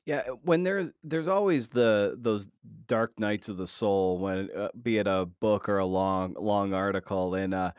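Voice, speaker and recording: Low-pitched man in a dry recording. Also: measured pace, few pauses, a little mellow, smooth, no echo. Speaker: podcast host, interviewer. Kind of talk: casual conversational interviewing.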